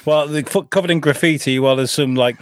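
A British man co-hosting a casual chat, animated and given to crude humor. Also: a little high, quick pace, no room sound, studio quality. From Britain.

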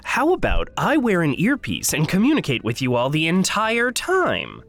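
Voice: medium pitch, huge pitch swings, normal speed, a bit bright. A tense formal skeptic for a fiction podcast, swingy intonation, echoey reverb. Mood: sarcastic, exasperated. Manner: dry, formal, precise wording, anxious. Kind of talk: audio drama dialogue and inner monologue.

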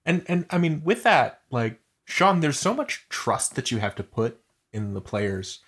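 A man's voice: medium pitch, very animated, a little slow, a bit bright, husky. A man hosting an interview in a casual, conversational way. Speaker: interview host.

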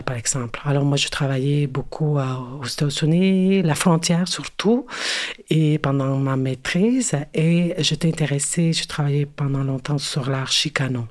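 A woman's voice: low voice, darkish tone. A woman from Mexico City with a Mexican Spanish accent, answering interview questions in French with many hesitations and fillers. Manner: hesitant, many pauses and "euh" fillers, restarts. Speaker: guest, cultural worker and curator.

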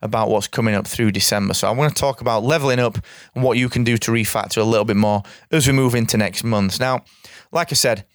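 Guy with a croaky voice, punchy straight talk, bone dry sound. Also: mid-range voice, fast talker, few pauses, dry recording. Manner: straight-talking, energetic, repeats for emphasis. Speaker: podcast host. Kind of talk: solo podcast monologue.

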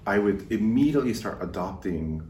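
A man from the United States, a podcast guest telling stories in a relaxed conversational way. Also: lowish voice, fairly quick, roomy sound, far from the mic, a little hiss or hum. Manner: relaxed storytelling with fillers. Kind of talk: casual conversation.

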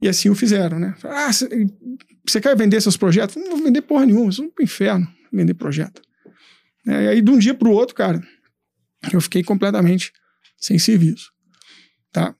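A man telling his business story in a casual interview, animated, swearing and acting out his past thoughts. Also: sits high, a little fast, creaky, pauses often, dry recording, studio quality. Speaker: entrepreneur guest.

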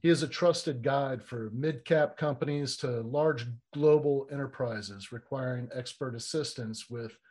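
A man's voice: mid-range voice, a little mellow. A man hosting a podcast interview, introducing his guest enthusiastically and casually. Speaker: podcast host.

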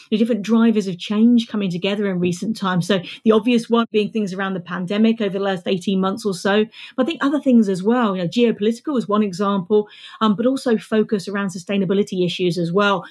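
A woman's voice: medium pitch, fast talker. A woman hosting an interview show, asking questions in an enthusiastic, encouraging way. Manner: warm enthusiastic questioning, some restarts. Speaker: podcast host.

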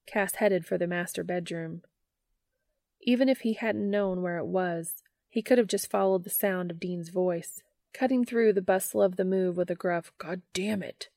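A woman's voice: on the low side, normal speed, darkish tone, no room sound, clean studio sound. A woman narrating a story from a script, reading it aloud as an audiobook. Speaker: fan fiction audiobook narrator.

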